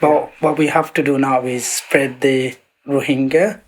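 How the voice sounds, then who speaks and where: A man's voice: mid-range voice, fairly even intonation, measured pace, a little hiss or hum, poor audio. Earnest man, community activist. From Burma. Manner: earnest, uses fillers like "to be honest with you". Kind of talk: casual conversational interview.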